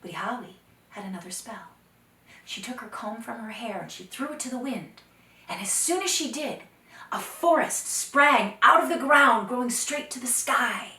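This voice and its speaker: Expressive woman storyteller in a roomy space. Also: fairly high pitch. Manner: expressive, deliberate, drops to hushed phrases. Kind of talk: dramatic folktale storytelling for children. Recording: roomy echo, distant microphone.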